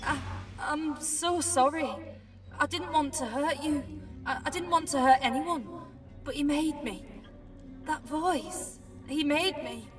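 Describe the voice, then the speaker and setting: Remorseful female game character, high pitch, haunted and bitter, music behind, slightly distant. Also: takes it slowly. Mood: anguished remorse. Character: remorseful woman who killed under mind control. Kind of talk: video game voice acting.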